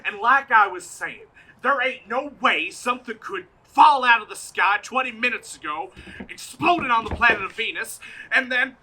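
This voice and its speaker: A man's voice: very high-pitched, lively intonation, normal speed, pushed and bright, slight background noise, full character voice, rough recording. A man playing a folksy, grumbling, chauvinist farmer husband in a comedic stage radio play. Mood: indignant, stubborn. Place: outdoors, parking lot stage. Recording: outdoor live stage performance.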